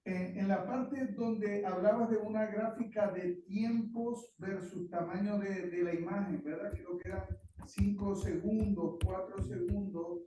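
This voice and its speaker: A man speaks up from the audience, his voice fairly high but mellow in tone. He sits far from the microphone, so his words carry a heavy echo with faint noise, and he chooses them carefully, stopping and restarting. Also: steady tone, measured pace, few pauses. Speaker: audience member commenting. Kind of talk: question-and-answer comment. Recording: distant room mic, strong echo.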